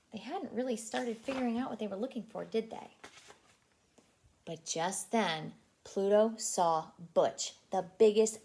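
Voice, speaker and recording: A woman reading a story aloud to young children as a childcare storyteller, expressive and animated. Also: a little high. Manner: animated, asks listeners questions.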